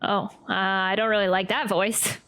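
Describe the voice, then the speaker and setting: A woman's voice: medium pitch, level tone, moderate pace, fairly bright tone. A woman streamer reacting casually as she plays a game, often stammering and repeating her words. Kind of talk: casual live playthrough reaction. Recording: game music behind.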